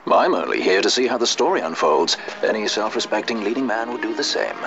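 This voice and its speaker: A man's voice: fairly low pitch, lively intonation, fairly quick. A man playing a suave, cynical sky pirate in a fantasy video game, wry, nonchalant and a touch theatrical. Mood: wry, cool. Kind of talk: video game drama acting. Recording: muffled game audio under clear music.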